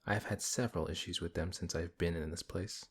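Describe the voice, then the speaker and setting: A man playing a big, good-hearted, exasperated paladin in a fantasy audio roleplay, flustered and questioning, with a husky voice. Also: deep voice, steady tone, brisk. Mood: concerned, probing.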